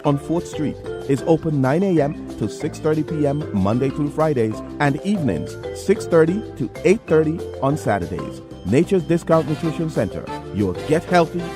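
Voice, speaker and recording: A man's voice: on the high side, lively intonation, moderate pace, few pauses. Male ad announcer over prominent music. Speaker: advertising announcer. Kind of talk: scripted commercial voice-over. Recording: voice-over over loud music bed. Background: background music.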